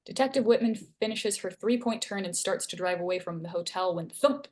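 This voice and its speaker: A woman's voice: medium pitch, fairly quick, a little mellow. A woman reading scene headings and stage directions aloud as narrator at a screenplay table read.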